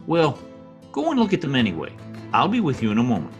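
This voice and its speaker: A man's voice: mid-range voice, huge pitch swings, fast talker, highs cut off, soft background music. A man playing a pompous, scheming Latin diplomat in old-time radio drama style, theatrical in manner. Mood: coaxing.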